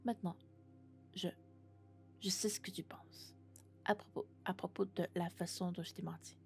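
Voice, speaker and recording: A woman playing a lovesick, possessive female robot in an ASMR roleplay, speaking in a hushed, breathy, intimate whisper. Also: on the low side, steady tone, moderate pace, soft background music, lightly acted. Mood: insistent, possessive.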